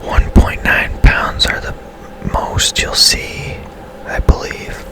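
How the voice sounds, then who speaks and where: A man speaks entirely in a breathy, unvoiced whisper. He hosts ASMR gameplay with casual, halting commentary, taking his time at a very slow, unhurried pace. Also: pushed and bright. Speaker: gaming channel host.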